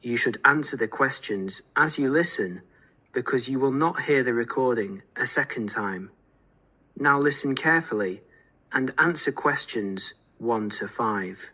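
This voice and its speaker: A man reading formal scripted test instructions as an exam announcer, in a measured, instructional way. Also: medium pitch, bright, forceful tone, rough recording.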